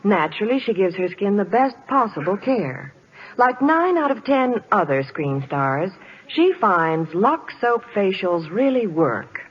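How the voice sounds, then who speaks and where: A woman gives a scripted 1940s radio promotion as a reporter. Her intonation is lively and varied. The recording is muffled, like an old broadcast. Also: mid-range voice, unhurried. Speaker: Hollywood reporter on radio show.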